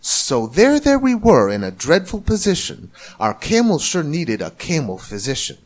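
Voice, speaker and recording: A man narrating a rhyming children's story in an expressive, theatrical way. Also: fairly high pitch, moderate pace. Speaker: storybook reader.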